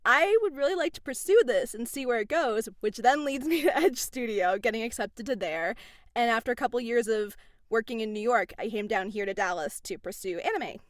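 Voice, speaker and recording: Chatty young voice actress. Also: female voice, fairly high pitch, brisk, no room sound, studio quality. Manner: chatty, animated, laughs between phrases. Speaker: voice actress guest. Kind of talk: casual interview answers.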